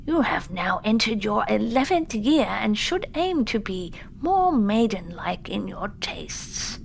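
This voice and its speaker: Woman voicing a slow, quavery old grandmother. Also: medium pitch, big pitch swings, creaky, slight background noise, full character voice. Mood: grave, reproving. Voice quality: quavery, hushed. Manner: slow, grave speech. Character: grave, gentle, disapproving old Japanese grandmother. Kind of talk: audiobook character voice.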